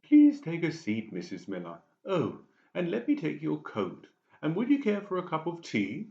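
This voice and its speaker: Audiobook villain played by a man: oily, over-polite psychiatrist, lifted pitch, roomy sound. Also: wildly swooping pitch, moderate pace, gravelly, mellow, dull audio, heavily acted. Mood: falsely sweet. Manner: exaggerated sugary politeness. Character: falsely sweet, sinister male psychiatrist, oily and scheming. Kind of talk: dramatised audiobook character voice.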